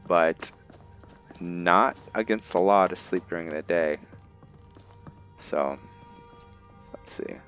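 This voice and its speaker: A man's voice: deep voice, takes it slowly, pauses often, a bit bright. A man giving casual roleplay commentary on a game, thinking aloud and slipping into character. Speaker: gaming host. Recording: dull lo-fi mic, music behind.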